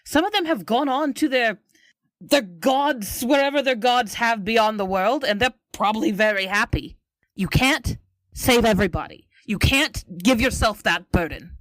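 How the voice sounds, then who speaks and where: A woman acting as a firm, caring female adventurer in a tabletop game. The voice is fairly high and moves expressively. It scolds and reassures in the same breath, in a very dry recording. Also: expressive, moderate pace, fairly bright tone, no room sound, rough recording. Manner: emphatic, scolding yet reassuring. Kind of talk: in-character improvised tabletop roleplay.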